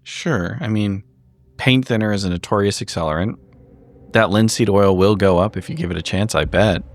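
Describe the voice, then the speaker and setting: A man's voice: on the low side, moderate pace, no room sound. A man playing a tabletop roleplaying game, talking in character in a dry, understated, hesitant way.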